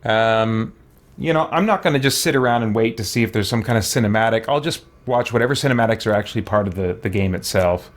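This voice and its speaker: A man's voice: on the low side, fast. A middle-aged man giving casual, conversational let's play commentary as a gaming streamer, rambling and thinking aloud.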